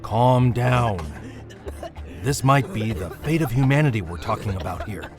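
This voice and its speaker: Man playing firm rig crewman, pragmatic, insistent, lively pitch swings, music underneath. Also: a little slow, natural voice. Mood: firm, urgent. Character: firm, pragmatic crew member guarding a quarantine. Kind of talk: audio drama acting.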